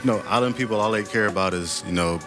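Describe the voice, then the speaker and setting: A man's voice: lowish voice, fast talker. A man from Florida talking casually and confidently as an interview guest, plainspoken and a bit boastful. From Florida, United States. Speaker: guest, sea moss vendor. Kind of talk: casual sales pitch and chat. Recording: music playing behind the voice. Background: music.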